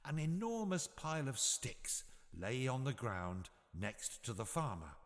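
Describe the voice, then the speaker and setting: A man narrating a scripted bedtime story for children in an expressive, measured storytelling manner. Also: medium pitch, big-room sound.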